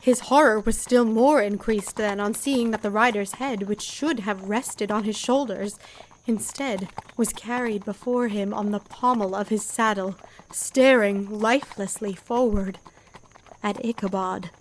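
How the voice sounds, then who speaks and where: A woman playing the storyteller of a gothic horror audio drama, in measured, literary narration. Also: mid-range voice, natural voice, low-quality recording. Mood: ominous. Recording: faint background effects noise.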